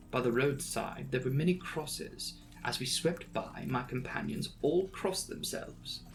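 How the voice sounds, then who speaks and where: A man's voice: mid-range voice, creaky, low-quality recording. A man giving a dramatic, theatrical audiobook reading of a classic gothic novel, telling it as a storyteller. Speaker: audiobook narrator. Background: background music.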